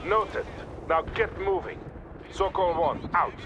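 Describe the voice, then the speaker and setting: An actor playing a stern, weary Soviet colonel in a video game, giving clipped orders with a Russian accent. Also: male voice, sits high, takes it slowly. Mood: firm, commanding. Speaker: military commander in a game. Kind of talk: scripted video game cutscene acting. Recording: loud battlefield noise behind.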